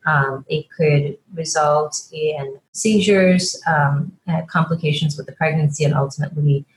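A low-voiced woman from Guyana, a fertility doctor, speaks as a podcast guest. She talks in a measured, storytelling way, with frequent fillers. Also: a little hiss or hum, low-quality recording. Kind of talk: podcast interview.

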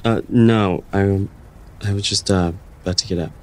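A man's voice: on the low side, fairly even intonation, moderate pace, darkish tone, slight background noise, close to an everyday voice. A man playing an awkward, anxious young adult in a fiction podcast, speaking in a natural, self-conscious and hesitant way.

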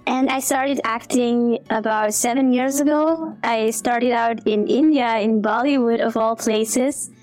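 A woman's voice: on the high side, unhurried, hardly any pauses, a little mellow, soft background music. A woman in her thirties from Belgium, a guest actor in a casual interview, speaking hesitantly with many fillers and restarts.

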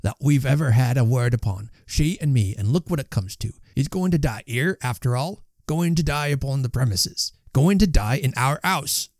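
A man's voice: medium pitch, lively intonation, normal speed, few pauses, no room sound, clean studio sound, voice pushed up, close to an everyday voice. A man voicing a grumbling, callous middle-aged shopkeeper in an audiobook, blunt and complaining. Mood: aggrieved. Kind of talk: audiobook character voice.

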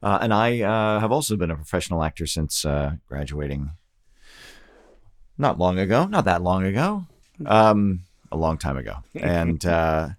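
A man's voice: low voice, sing-song, moderate pace, no room sound, studio quality. A middle-aged man, an actor and audiobook narrator, chatting casually and with self-deprecating humour as an interview guest.

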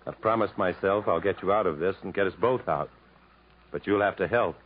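Noisy vintage radio recording, male actor as stranded adventurer, lowish voice, earnest, urgent, determined, protective, dramatic fifties delivery. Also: fairly quick, lightly acted. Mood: intense, urging. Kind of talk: 1950s American radio drama acting, with first-person narration. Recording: muffled, noisy 1950s radio broadcast.

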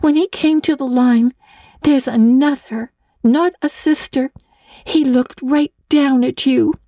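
A woman's voice: high voice, steady tone, slow pace, warm tone, no echo, voice pushed up, exaggerated voice. A woman voicing a chatty, good-natured young girl in a storybook, with a chirpy, girlish manner. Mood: teasing.

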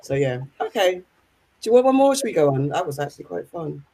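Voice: mid-range voice, fast. A co-host in a casual chat, telling stories animatedly and acting out little quoted lines. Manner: animated storyteller, acts out quoted voices. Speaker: podcast co-host.

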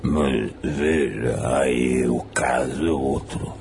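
An actor playing a small, repugnant old male demon, foul-mouthed, muddled and cheeky, with a gravelly, crude drawl in a dramatized fantasy audiobook. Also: rumbling low, background noise, heavily acted. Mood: musing, evasive. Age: older. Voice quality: gravelly, with bouts of coughing. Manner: slow, crude, slangy drawl.

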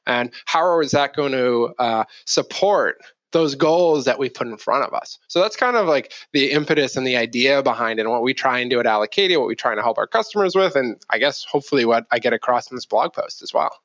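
Male interview guest, mid pitch, explanatory, conversational, slightly lo-fi sound. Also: animated, brisk, fairly bright tone, no echo. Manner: conversational, explanatory, poses rhetorical questions. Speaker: podcast guest, marketing executive. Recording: dull, slightly lo-fi audio.

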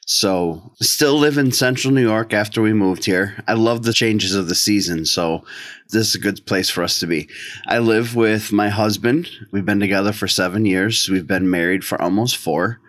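A middle-aged man with an American accent and a lowish voice talks casually as an interview guest. The sound is dry and close, with no room echo. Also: normal speed, a bit bright. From northeastern United States.

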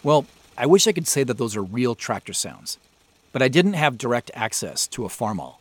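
A man's voice: mid-range voice, very animated, normal speed. An American man giving conversational, explanatory commentary as a show creator and sound designer. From Spokane Valley, Washington, United States. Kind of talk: director's commentary narration.

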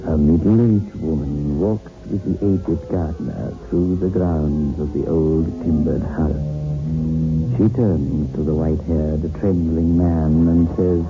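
Male horror-show narrator, low and ominous, vintage muffled radio sound with loud music behind him. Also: fairly even intonation. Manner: ominous, dramatic, deliberate. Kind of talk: 1960s radio suspense narration. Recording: muffled old radio recording.

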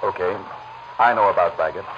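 A man's voice: low voice, brisk, lightly acted. Guy doing a sardonic american tough, smug n taunting, muffled noisy old radio crime show. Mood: guarded. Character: smug, mocking tough henchman of a crime boss. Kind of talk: 1940s radio crime drama acting.

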